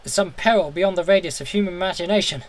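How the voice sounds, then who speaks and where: Higher-voiced man reading horror dramatically. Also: quick pace. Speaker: audiobook reader. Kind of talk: dramatic first-person horror story narration.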